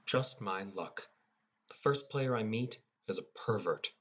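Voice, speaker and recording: A man's voice: on the low side, lively intonation, unhurried, a bit bright. A man playing a wry, inexperienced teenage boy who narrates his own game adventure in an audiobook. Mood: wry, doubtful. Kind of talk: first-person audiobook narration with character dialogue.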